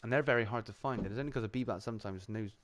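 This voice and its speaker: A man's voice: on the low side, racing, fairly bright tone. Laid-back rambling man. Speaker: channel host on a live round-table stream. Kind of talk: casual chat.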